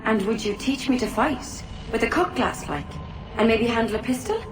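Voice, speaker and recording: A woman's voice: a little high, animated, moderate pace, lightly acted. An actress playing an eager, inexperienced young woman in a video game cutscene, tentative and curious. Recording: roomy, distant, clearly noisy ambience.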